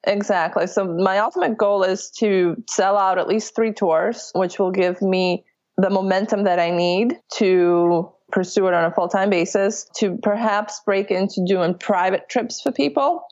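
A woman's voice: mid-range voice, steady tone, measured pace, darkish tone. A woman from Italy, a small business owner, speaking candidly in a casual interview, with many fillers and restarts. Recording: dull lo-fi audio.

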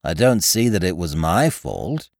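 A man voicing an amiable, dim young gentleman in an audiobook, bleating and chummy, full of old-fashioned British slang. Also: sits low, big pitch swings, a little slow, dry recording, studio quality, exaggerated voice. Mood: bleating, puzzled. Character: amiable, dim upper-class young gentleman, easily pleased.

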